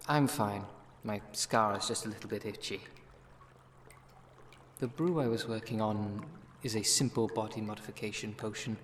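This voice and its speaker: Low-voiced, wry witch played by a man, noisy backdrop. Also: steady tone, normal speed, fairly bright tone, lightly acted. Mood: matter-of-fact. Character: brisk, wry city witch, a seasoned potion brewer. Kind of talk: ASMR roleplay audio drama monologue.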